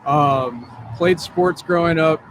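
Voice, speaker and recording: A man's voice: on the high side, slow, a bit bright. A man working as a case manager, talking candidly and plainly about his past, outdoors. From Ohio, raised in Columbus. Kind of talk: casual outdoor interview. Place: outdoors on a bench by a street. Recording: street noise behind.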